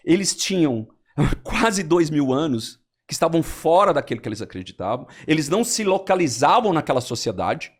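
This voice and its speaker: A Brazilian man on a podcast, explaining history in a lively storytelling way and acting out quotes. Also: moderate pace. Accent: Brazilian Portuguese. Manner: lively storyteller, animated swings in pitch, acts out quotes.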